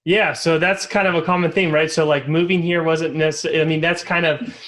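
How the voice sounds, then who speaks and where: A man's voice: a little high, fairly even intonation, normal speed, a bit bright. A man hosting an interview podcast, asking loose, rambling questions and restarting his sentences. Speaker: podcast host and company founder.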